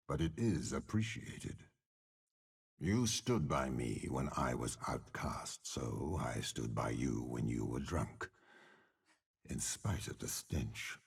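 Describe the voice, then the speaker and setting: An actor playing a commanding, cold, cunning older warlord with demonic power, speaking in slow, measured, eloquent game voice lines with a gravelly rasp. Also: male voice, rumbling low, heavily acted. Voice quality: gravelly, raspy. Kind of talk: dramatic game character voice lines.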